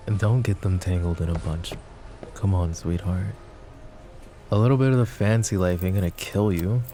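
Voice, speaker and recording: A man voicing a possessive, flirtatious mafia boss in an ASMR roleplay drama, with a low, soft, teasing drawl. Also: normal speed, pauses often, faint music behind, close to an everyday voice. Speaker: voice actor.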